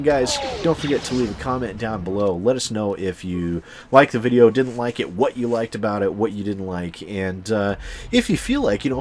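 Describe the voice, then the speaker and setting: Guy w a deep voice telling a story to his viewers, casual n sweary, bit of background noise. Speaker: video host telling a personal story.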